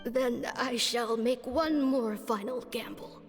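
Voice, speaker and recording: A grave woman mentor from a video game, speaks slowly in a fairly high voice. She is solemn, resolute and theatrical, ringing in a long reverb. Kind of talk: video game dialogue acting. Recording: long reverb over music.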